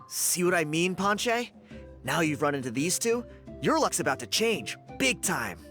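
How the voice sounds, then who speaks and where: A man's voice: on the high side, big pitch swings, a little slow, pushed and bright, soft background music, exaggerated voice. An actor playing an earnest, sympathetic young man in a video game, speaking emphatically. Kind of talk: video game character acting.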